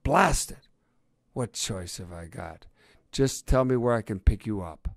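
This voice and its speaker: A man's voice: fairly low pitch, moderate pace, a little mellow, no room sound, clean studio sound, lightly acted. Man as a blustering, huffy badger inspector. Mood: irritated. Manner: gruff-tempered, huffy. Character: blustering, irritable male badger police inspector.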